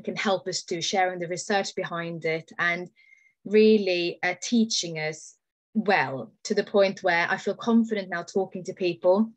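A woman's voice: lowish voice, no room sound. Woman from Norway, dry close sound. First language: Norwegian. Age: 30s. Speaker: product distributor giving a testimonial. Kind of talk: informal personal testimonial on a video call. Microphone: video call, computer microphone.

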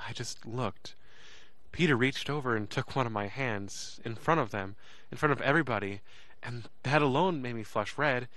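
A man's voice: mid-range voice, normal speed, a bit bright, no echo, lightly acted. An actor playing an uneasy, earnest young man who narrates his own story in an audio drama. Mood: reflective, stunned.

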